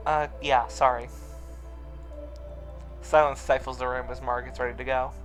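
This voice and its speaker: A man reads a visual novel aloud in a mid-pitched voice. He narrates the story and drops in casual asides, with music behind him. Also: flat delivery, moderate pace. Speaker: reader of a visual novel let's play. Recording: music under the voice.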